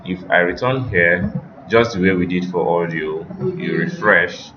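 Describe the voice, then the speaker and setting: A man giving an informal software tutorial, thinking aloud in a halting way as he works. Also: deep voice. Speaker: web design instructor. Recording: muffled, roomy, noisy home recording.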